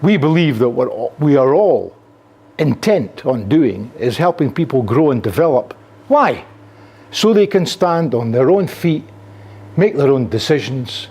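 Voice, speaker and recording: A man's voice: medium pitch, breathy edge. An older man from Scotland with a Scottish accent gives a conference lecture in a classroom with a projector, gesturing freely and using frequent fillers, recorded by a camera at the back of the room. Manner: animated, gesturing while speaking, frequent fillers.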